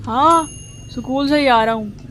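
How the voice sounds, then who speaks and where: A woman's voice: high-pitched, unhurried, a bit bright. A woman voicing a cheeky, defiant young schoolboy who talks back, in an Urdu radio drama. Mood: evasive. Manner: defiant, backtalking. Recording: faint background noise.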